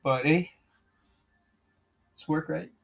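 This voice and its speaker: A young man with a fairly high voice hosting a casual podcast, talking in laid-back slang, swearing freely and laughing often. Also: takes it slowly, creaky. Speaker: podcast host. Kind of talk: casual chat with heavy swearing.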